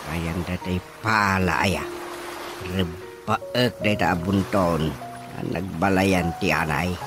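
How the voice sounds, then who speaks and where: A man's voice: low voice, steady tone, slow. Male actor as old father, fretful, whining, exclamatory, comic radio drama, music behind. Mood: grumbling, puzzled. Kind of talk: comedic radio drama acting.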